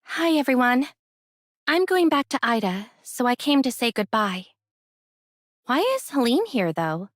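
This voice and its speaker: A woman's voice: on the high side, very animated, measured pace, long pauses, no echo, studio quality. A woman playing an easygoing, friendly young heroine in an anime game, speaking casually with a light teasing edge.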